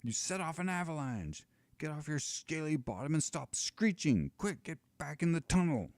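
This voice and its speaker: A man putting on a loud hectoring giant, raised voice, dry close sound. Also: low-pitched, lively intonation, unhurried, no echo, voice pushed up. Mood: bellowing. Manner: hectoring, bossy. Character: blustering scolding male giant.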